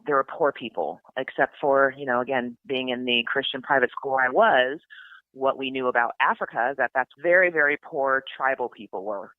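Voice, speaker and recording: A woman's voice: rumbling low, no echo. A middle-aged woman from California, chatting as an interview guest, with frequent ums and restarts. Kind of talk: casual interview talk. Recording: tinny phone-line sound, dry.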